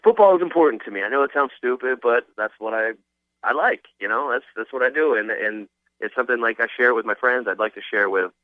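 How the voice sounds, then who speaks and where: A man speaking haltingly and earnestly as a caller on a thin phone line. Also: medium pitch, no echo.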